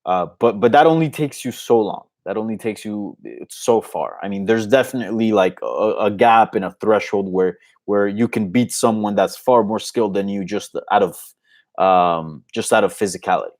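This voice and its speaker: Low-voiced man from Colombia, native Spanish speaker. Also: normal speed, darkish tone. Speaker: host of an MMA live Q&A show. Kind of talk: casual unscripted live Q&A commentary.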